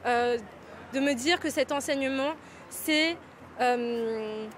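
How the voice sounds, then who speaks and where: A woman from Paris, reflecting on her life in a measured, searching way. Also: high-pitched, slight background noise. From Paris, France. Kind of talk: personal reflective interview.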